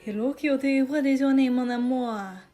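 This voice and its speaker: A woman's voice: sits high, normal speed, mellow, soft background music, close to an everyday voice. A woman voicing a flirtatious, melodramatic Frenchman in an audio story, cooing and theatrical. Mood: teasing, flirtatious. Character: flirtatious, melodramatic Frenchman, teasing and mock-wounded.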